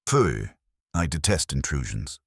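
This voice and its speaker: A man's voice: a bass voice, sing-song, a little slow, creaky, breathy edge, dry recording, clean studio sound, full character voice. A man reading the part of a stern, imperious older detective in formal, clipped, declarative sentences for an audio mystery. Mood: self-satisfied.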